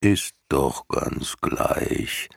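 A man voicing an ancient, huge, weary female turtle in an audiobook, with a gurgling, breathy, wheezing voice and a listless, drawn-out, dismissive delivery. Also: dry recording, studio quality.

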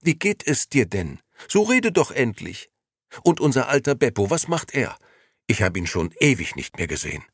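A man voicing an excitable, talkative grown man who rushes out a breathless stream of words. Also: medium pitch, dry recording, clean studio sound, voice pushed up, natural voice. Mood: eager rushing.

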